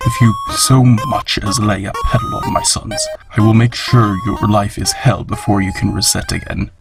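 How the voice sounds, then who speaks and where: A man playing a gentle, solemn monster scientist and father in a fan comic dub, speaking formally and with measured care in a gravelly voice. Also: steady tone, over music. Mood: cold, menacing. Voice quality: low, gravelly, husky.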